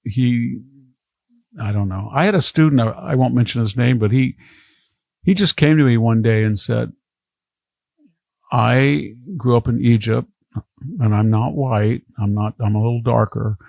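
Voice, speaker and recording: A man's voice: medium pitch, measured pace, vocal fry, long pauses, a little mellow, dry recording. An older man, a historian, talking casually in a podcast, wandering from one anecdote to the next. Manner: rambling, anecdotal storytelling. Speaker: historian guest.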